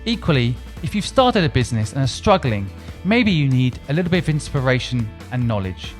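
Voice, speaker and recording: A man hosting a podcast, giving an upbeat intro and asking questions with stumbling repeats. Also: medium pitch. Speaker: podcast host.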